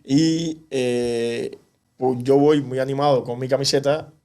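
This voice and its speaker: A man's voice: medium pitch, steady tone, mellow. A man speaking passionately and indignantly as an activist guest in a TV interview. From Cuba. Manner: passionate, indignant, rapid bursts. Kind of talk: animated TV interview.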